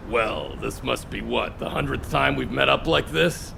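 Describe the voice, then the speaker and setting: An actor playing a boisterous, eager soldier who loves food, in a video game, hearty and enthusiastic. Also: male voice, medium pitch, measured pace, creaky, noisy background.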